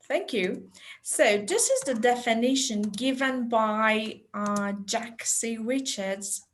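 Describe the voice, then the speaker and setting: A woman leads an online teacher-training session, speaking slowly in a somewhat high voice. She chats easily, dropping in little laughs and fillers as she talks to participants over a video call. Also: fairly bright tone. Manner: chatty, unhurried, with light laughs and fillers. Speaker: webinar presenter. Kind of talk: friendly online teacher talk.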